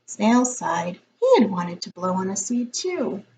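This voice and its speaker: A woman reading a picture book aloud as a teacher would, in a deliberate, expressive storytelling style. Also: mid-range voice, gravelly, a little hiss or hum.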